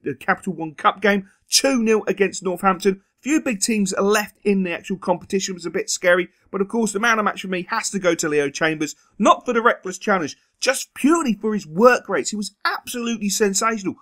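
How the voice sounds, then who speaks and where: High-voiced man commenting on gameplay. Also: brisk, hardly any pauses, a bit bright. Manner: emphatic, repeats words for stress. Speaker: gaming video host.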